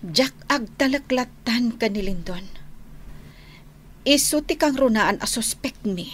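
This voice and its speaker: Earnest, suspicious daughter, fairly high voice. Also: female voice, animated, takes it slowly, a bit bright, slight background noise. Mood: worried, firm. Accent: Ilocano. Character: earnest, suspicious young daughter questioning those around her. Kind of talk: Ilocano radio drama acting.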